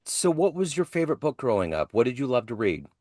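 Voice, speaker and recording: A man's voice: medium pitch, very animated, fast, a little mellow, no room sound. Man asking short curious questions, dry sound. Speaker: podcast interviewer. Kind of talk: interview questions.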